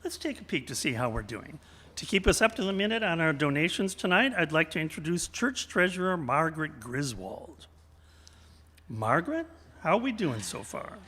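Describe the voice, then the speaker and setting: A male host with a lively, wide-ranging voice, a little on the high side. He presents a radio variety show with theatrical flair, his words ringing in long hall reverb. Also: normal speed, breathy edge. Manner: animated, showmanlike.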